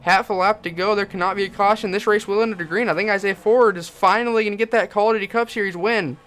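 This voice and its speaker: Young guy hyped up doing race commentary for his channel, kinda high voice, going hoarse. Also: fast talker, a little hiss or hum. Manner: builds to shouting excitement at the finish. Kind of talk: excited sim racing play-by-play commentary.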